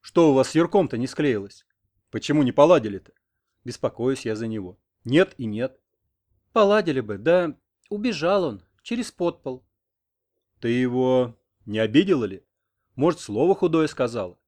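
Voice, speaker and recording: A man's voice: mid-range voice, expressive, moderate pace, darkish tone, lightly acted. A man voicing a grumpy, fretful old village grandfather in an audiobook, grumbling and indignant. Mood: anxious, prying. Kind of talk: audiobook character reading.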